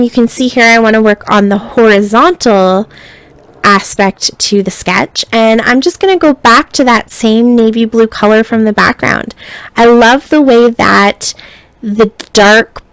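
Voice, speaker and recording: A woman narrating a scrapbooking tutorial in a relaxed, chatty way, sounding a little stuffy as she gets over being sick. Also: a little high, clean tone, dry recording, poor audio. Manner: relaxed, chatty step-by-step explaining. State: stuffy, recovering from illness. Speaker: scrapbooking designer.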